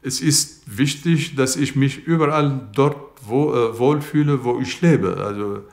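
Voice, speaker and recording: An older man speaks haltingly in a documentary interview, pausing often with fillers. His voice sits in a middle pitch. The room around him sounds echoey. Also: a little mellow. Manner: hesitant, many pauses and fillers. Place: indoors by a bookshelf.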